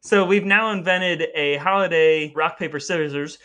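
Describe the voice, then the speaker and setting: Male podcast co-host, high-ish and very bright voice, playful mock-earnest patter, faint music underneath. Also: moderate pace, clean tone. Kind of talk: chatty scripted podcast narration.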